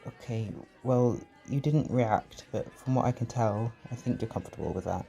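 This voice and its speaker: A man acts out a protective, tender caretaker in a comfort roleplay. His voice is mellow and mid-pitched, soft and reassuring. Music and party chatter sit behind him. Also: moderate pace, vocal fry, natural voice. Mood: tender, soothing. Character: gentle, protective male caretaker comforting an overwhelmed partner. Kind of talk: roleplay comfort audio, intimate acting.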